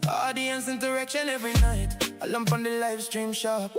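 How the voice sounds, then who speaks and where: A woman's voice: fairly high pitch, steady tone, moderate pace. A Caribbean woman chanting a rhythmic theme jingle for a talk show. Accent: Caribbean English. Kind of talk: sung show jingle over a beat. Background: loud Afrobeat music.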